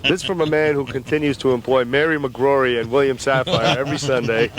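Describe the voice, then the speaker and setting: A man's voice: medium pitch, fairly even intonation, a little fast, a crowd in the background. A man working as a radio field correspondent, bantering and needling his guest with wisecracks. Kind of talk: bantering interview. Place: outdoors on a city street.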